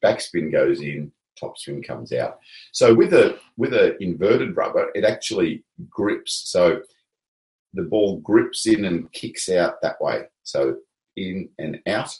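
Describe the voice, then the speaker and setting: A man with a very deep voice explains technique in a relaxed, unhurried manner, often pausing on fillers. The sound carries a roomy echo. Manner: relaxed, hesitant with many fillers. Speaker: table tennis coach. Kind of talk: casual coaching explanation.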